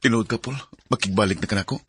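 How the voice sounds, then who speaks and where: A man's voice: fairly low pitch, racing, loud music behind the voice, natural voice. A man acting an earnest, coaxing young working-class suitor in a Bisaya radio drama, with a Cebuano Filipino accent. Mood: tender. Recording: noisy radio drama audio with clicks.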